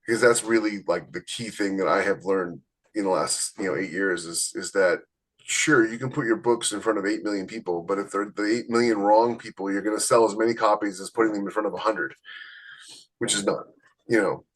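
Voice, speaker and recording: A man's voice: fairly low pitch, brisk, a bit bright, slightly rough, rough recording. A man co-hosting a podcast, talking casually and anecdotally, acting out bits of remembered conversation as he goes. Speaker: podcast co-host and author.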